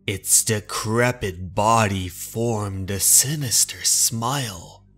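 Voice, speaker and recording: A man's voice: fairly low pitch, takes it slowly, fairly bright tone, faint music behind. A man delivering scripted narration of a horror story as narrator and host.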